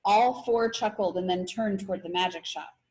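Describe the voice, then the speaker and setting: A woman's voice: mid-range voice, moderate pace, darkish tone, rough recording. Woman reading a children's story aloud. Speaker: read-aloud narrator.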